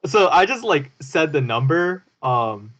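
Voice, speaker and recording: A man's voice: a little high, big pitch swings, a little slow, a bit bright. A man telling casual anecdotes on a podcast, chatty and full of fillers. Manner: chatty, filler-laden, laughs at own stories. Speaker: podcast co-host.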